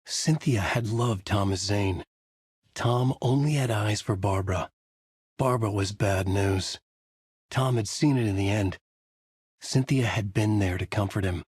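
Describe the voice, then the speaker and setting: A man's voice: fairly low pitch, a little slow, a little mellow, husky, no echo, studio quality. A middle-aged man narrating a video game as a brooding writer, in a noir-like inner monologue. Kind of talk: hard-boiled game narration.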